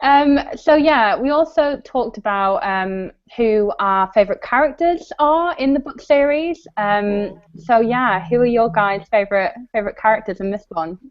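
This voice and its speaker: A woman's voice: on the high side, moderate pace, hardly any pauses. A woman chatting casually and agreeably about books as co-host of a live stream. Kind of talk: casual book discussion chat. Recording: dull lo-fi video-call audio.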